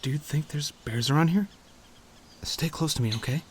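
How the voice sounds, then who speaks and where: A man playing a teasing, warm-hearted young friend in an audio roleplay, conversational and given to playful grumbling. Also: on the high side, normal speed, slight background noise, natural voice. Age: young adult. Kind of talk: audio roleplay acting, addressing the listener.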